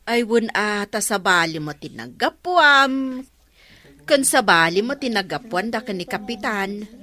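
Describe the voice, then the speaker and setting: A woman's voice: mid-range voice, lively intonation, measured pace, sharp tone. A woman playing a nosy, chatty village neighbour in a radio comedy drama, with an Ilocano accent.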